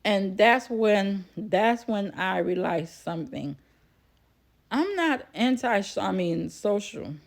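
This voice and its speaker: Slow-paced chatty woman vlogger. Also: mid-range voice, expressive, gravelly. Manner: chatty, repeats phrases for emphasis. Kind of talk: casual personal storytelling to viewers.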